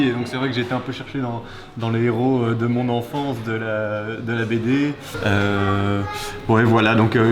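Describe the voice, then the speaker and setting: A young man, a character designer, explaining his work casually with frequent hesitations. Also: mid-range voice. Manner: hesitant, many fillers.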